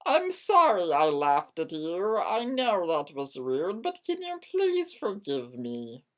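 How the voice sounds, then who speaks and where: A woman's voice: on the low side, huge pitch swings, slow, full character voice. A woman voicing an easygoing young man, an apologetic and defensive boyfriend, in a dramatic fan fiction reading. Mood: pleading.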